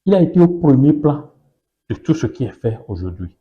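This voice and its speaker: A man whose first language is Yoruba speaks as an insistent political guest on a televised interview. He hammers his points with repeated phrases and pointed rhetorical questions, in a voice of ordinary male pitch. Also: very animated, normal speed, dull audio.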